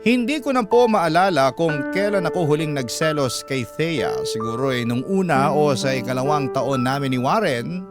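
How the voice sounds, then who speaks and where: A male radio storyteller with a slightly high voice reads a confessional letter. His delivery is dramatic yet measured, earnest and polite. A musical score plays clearly behind him. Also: music in the background. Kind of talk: dramatic reading of a first-person confession letter.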